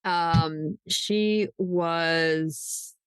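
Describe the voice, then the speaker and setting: Low-voiced woman, unhurried true crime host. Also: fairly even intonation, clean tone, dry recording. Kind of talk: casual conversational true crime discussion.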